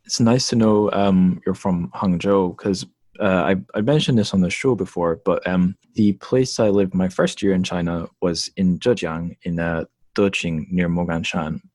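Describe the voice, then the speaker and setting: A young adult man hosting a podcast interview, speaking in a friendly, relaxed and self-deprecating way. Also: deep voice, moderate pace, no room sound. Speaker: podcast host. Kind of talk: conversational interview.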